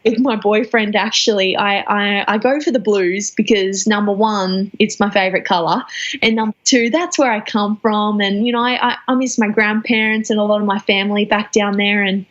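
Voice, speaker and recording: A young woman in her twenties answering interview questions thoughtfully, often pausing and restarting phrases. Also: medium pitch, fairly even intonation, dull audio. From Australia. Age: 20s. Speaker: guest athlete.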